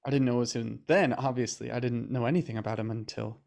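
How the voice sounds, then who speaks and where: A man's voice: medium pitch, fairly even intonation, vocal fry, darkish tone, lightly acted. Awkward, earnest young man, played by an actor. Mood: confessional. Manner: hesitant, halting, fumbling for words. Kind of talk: naturalistic audio drama acting.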